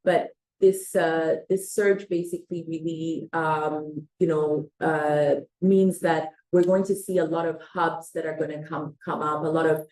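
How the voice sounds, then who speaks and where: A woman's voice: low-pitched, steady tone, unhurried, hardly any pauses, a little mellow, low-quality recording. A middle-aged woman from Switzerland presenting a business webinar in a loose, conversational way, with frequent fillers and restarts.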